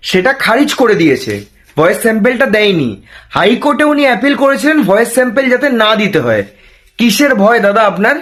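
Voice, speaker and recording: A high-pitched man with a West Bengal accent delivers opinionated political commentary. He speaks in a challenging way, throwing out rhetorical questions and mixing in English words. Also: a bit bright. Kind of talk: opinionated news commentary to camera.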